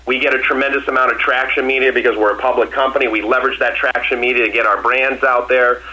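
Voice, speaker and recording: Forceful, bright-voiced executive man. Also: mid-range voice, steady tone, fast, low-quality recording. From Massachusetts. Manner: confident, emphatic, repeats and restarts phrases. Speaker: company executive. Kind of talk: earnings call Q&A answers.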